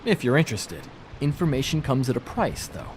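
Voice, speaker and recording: An actor playing a slippery small-time thief in a video game, talking in glib, ingratiating, hedging patter. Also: male voice, medium pitch, very animated, a little fast, slight background noise, natural voice. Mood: sly bargaining.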